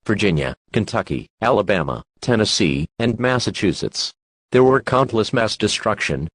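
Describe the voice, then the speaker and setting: Male synthetic emergency announcer, slightly deep, formal scripted read, dry and close. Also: no echo.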